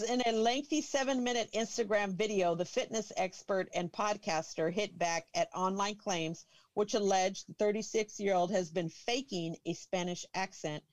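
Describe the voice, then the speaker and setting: A woman's voice: mid-range voice, darkish tone, no echo. A woman hosting a casual chat, reading an article aloud, amused, stumbling over names. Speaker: host.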